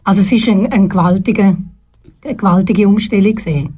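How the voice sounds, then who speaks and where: An older woman with a Swiss German accent recalls her working life as a guest in a radio studio. Her voice is soft and mellow at an ordinary pitch, and she speaks thoughtfully, stopping often to find the right word. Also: husky. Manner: thoughtful, pauses often to search for words. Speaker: guest, retired TV presenter. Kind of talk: relaxed radio studio interview.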